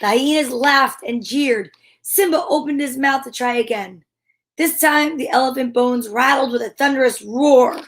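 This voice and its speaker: A woman's voice: high-pitched, moderate pace. A woman hosting a story time, reading a children's tale dramatically with comic asides in a theatrical manner. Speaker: host and storyteller.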